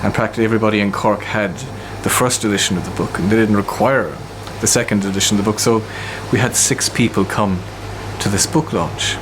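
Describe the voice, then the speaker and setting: A man's voice: fairly low pitch, steady tone, fairly quick, few pauses. A man being interviewed, telling anecdotes in a conversational way. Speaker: poet and literature centre director being interviewed. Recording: clearly noisy, ambient hum and vehicles.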